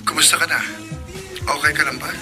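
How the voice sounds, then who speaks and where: A man's voice: fairly high pitch, fairly even intonation, fast, music in the background, natural voice. A man playing Jester, a young man in a radio drama who pleads earnestly with the woman avoiding him. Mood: pleading. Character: young man Jester, anxious abandoned lover.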